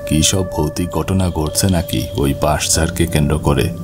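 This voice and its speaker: A man playing a friendly village uncle in animated ghost story dialogue. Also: very deep voice, normal speed. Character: friendly uncle back from years abroad. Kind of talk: cartoon dialogue. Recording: music bed behind the voice.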